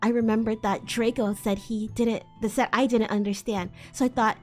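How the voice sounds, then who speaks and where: A woman's voice: fairly high pitch, fairly even intonation, normal speed. A woman streamer giving a theatrical, amused live dramatic reading of fan fiction. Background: faint music.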